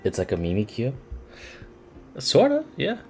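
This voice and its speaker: A man's voice: mid-range voice, huge pitch swings, a little slow, background noise. A man streaming a game with casual live commentary, exclaiming and musing aloud to himself. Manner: exclaims and muses aloud to self. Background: game music.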